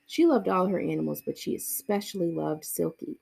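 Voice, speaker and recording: A woman's voice: on the low side, animated, a little fast, creaky, dark tone, breathy edge. A woman, a librarian reading a children's book aloud with casual asides.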